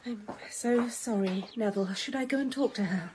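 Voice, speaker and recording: An actress playing a quiet, polite, apologetic ex-wife in a radio drama. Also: female voice, a little high, normal speed, background noise, natural voice. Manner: reserved, conciliatory. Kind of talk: British radio drama acting.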